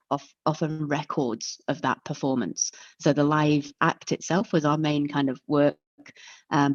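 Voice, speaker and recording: A woman's voice: low-pitched, dry recording. A woman presenting an online lecture and explaining things step by step in a friendly way. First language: English. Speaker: webinar presenter. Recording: dull lo-fi call audio.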